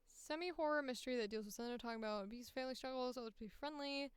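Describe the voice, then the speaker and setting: A woman voice actor streaming in a casual, informal way, reading things aloud and thinking out loud as she comments. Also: high voice, rapid-fire, clean tone, no echo.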